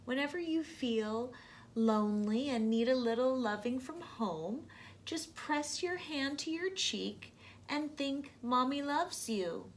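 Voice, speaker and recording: Lady doing a mama raccoon from a kids book, gentle n reassuring, takes her time. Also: high-pitched, animated, slight background noise. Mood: tender. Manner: gentle, soothing, unhurried. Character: gentle, reassuring mother raccoon, loving and wise. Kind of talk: children's storybook read-aloud.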